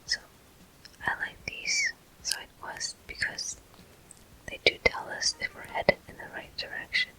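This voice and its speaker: A person whispering a video game walkthrough in ASMR style, with soft, breathy, slow and deliberate delivery in short phrases. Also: very high voice, rough recording. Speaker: gameplay host.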